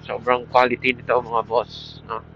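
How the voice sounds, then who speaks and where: A man's voice: mid-range voice, monotone, unhurried. Filipino man vlogging through muffled helmet mic. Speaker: motorcycle vlogger reviewing gear. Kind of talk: casual product review vlog. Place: outdoors, riding a motorcycle. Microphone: Bluetooth helmet headset mic. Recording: muffled helmet intercom mic, road noise.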